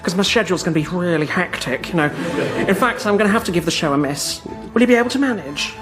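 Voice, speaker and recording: A man's voice: sits high, animated, fast, music in the background. A man playing an awkward, stammering presenter. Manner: hesitant, stammering, awkwardly polite. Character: awkward, fumbling young male radio co-presenter. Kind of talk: sketch comedy acting.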